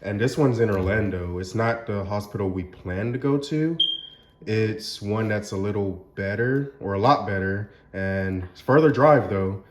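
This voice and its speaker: A man chatting casually in a family vlog, speaking in a flat, monotone way. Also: lowish voice, a little slow. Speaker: father-to-be, vlogger. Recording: echoey room with background noise.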